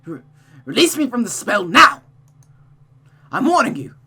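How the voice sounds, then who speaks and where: A woman's voice: fairly high pitch, very animated, unhurried, vocal fry, bright, forceful tone, breathy edge, pitched above the performer's own voice, full character voice. A woman voicing a proud, defensive man in a visual novel, sputtering with indignation and panic. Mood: desperate, threatening. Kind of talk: visual novel character read-aloud.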